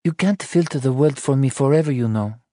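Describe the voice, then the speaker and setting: A man reading an audiobook, voicing an anxious, pleading wife in a soft, breathy voice. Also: medium pitch, a little fast, no echo, studio quality, pitched above the performer's own voice, lightly acted. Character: Anna, anxious French wife pleading softly.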